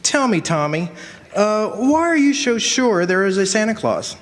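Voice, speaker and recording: A man's voice: high voice, big pitch swings, unhurried, fairly bright tone, heavily acted. A man playing an earnest, idealistic defense lawyer, politely probing, in an old-fashioned radio drama. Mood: gentle questioning. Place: live performance on a college campus. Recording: noisy reverberant hall stage recording.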